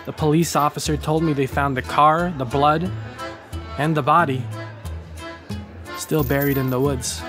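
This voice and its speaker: A man narrating a first-person horror story with measured, slow phrasing, who also hosts the channel. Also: fairly high pitch, steady tone, over music. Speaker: audio drama creator and narrator.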